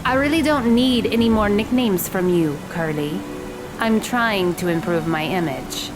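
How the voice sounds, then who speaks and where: Woman as a grumpy teenage girl, dry, blunt, mid-range voice, audio drama, loud music behind. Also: mid-range voice, expressive, a little slow, close to an everyday voice. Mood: annoyed, dry.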